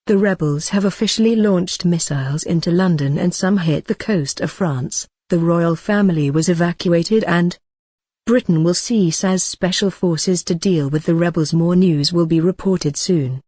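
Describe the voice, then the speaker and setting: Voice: medium pitch, normal speed, darkish tone, dry recording. A news announcer reading scripted emergency bulletins.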